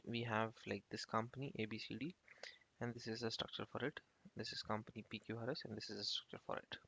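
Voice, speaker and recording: A man giving an informal software tutorial as an instructor, explaining step by step in a halting, repetitive way. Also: fairly low pitch, gravelly. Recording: muffled lo-fi screen-recording voice-over.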